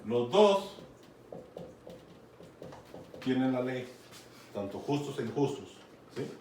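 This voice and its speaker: A man's voice: mid-range voice, sing-song, slow pace, mellow. A man with a Mexican accent preaching a conversational Bible sermon, often checking in with his listeners. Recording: echoey room, from far off, faint noise.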